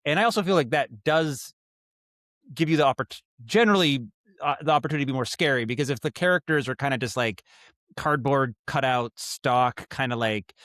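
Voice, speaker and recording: A man's voice: mid-range voice, a little fast, a bit bright, no room sound, studio quality. A man giving casual interview answers as a guest, thinking aloud in a rambling, thoughtful way and often rephrasing. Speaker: podcast guest, writer and audio drama director.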